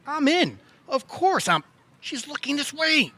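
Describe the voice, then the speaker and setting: A man playing an earnest, hesitant, credulous brother in a comedic audio play, tentative but easily excited. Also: very high voice, unhurried, dry recording, exaggerated voice. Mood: moved, excited. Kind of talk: comedic audio theatre acting.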